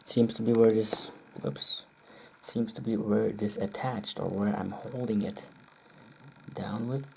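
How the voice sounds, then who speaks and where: A person muttering a casual, halting running commentary, often clearing the throat. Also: medium pitch, level tone, mellow, a little hiss or hum. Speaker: video maker demonstrating close-up specimens.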